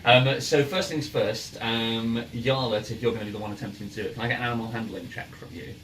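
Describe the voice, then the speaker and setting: A man's voice: medium pitch, fairly even intonation, fast talker, a bit bright, distant mic. A man casually narrating a tabletop roleplaying game as the game master. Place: in-person game session in a room, ambient music playing. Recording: noisy room, voice off the mic.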